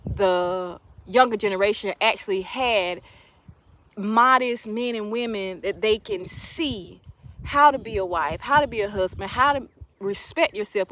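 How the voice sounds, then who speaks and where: A woman's voice: medium pitch, a bit bright, a little hiss or hum. A woman in her late thirties talking casually to her viewers, sharing opinions emphatically and repeating phrases for emphasis. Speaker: livestream host.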